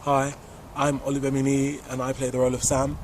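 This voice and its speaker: A man speaking as an actor in proper English with halting restarts, informally introducing his part. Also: medium pitch, completely flat delivery, very noisy.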